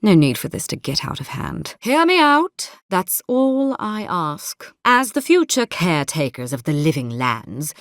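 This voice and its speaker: An actress playing a polished, menacing military captain in a fantasy video game, courteous and measured with a silky threat underneath. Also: female voice, on the low side, big pitch swings, no echo, clean studio sound. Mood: cool warning.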